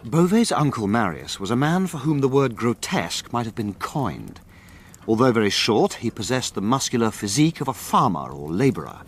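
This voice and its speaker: An actor playing a grave gentleman who narrates a mystery adventure in a literary, measured and ominous manner. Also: medium pitch, sing-song. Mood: ominous, descriptive. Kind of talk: dramatic scripted narration. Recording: clear ambient background noise.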